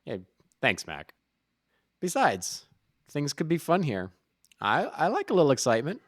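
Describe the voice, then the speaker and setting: A man's voice: a little high, wildly swooping pitch, unhurried, dry recording, clean studio sound, full character voice. A man acting a loyal, easygoing friend in an audio drama, speaking casually with wry, supportive teasing. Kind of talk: naturalistic audio drama dialogue.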